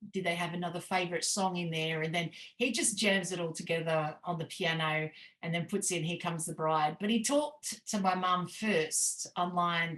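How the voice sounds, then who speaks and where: A woman's voice: on the low side, fairly bright tone. Woman host, casual rambling intro over a video call, roomy sound. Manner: chatty, rambling, many ums. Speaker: networking meeting host.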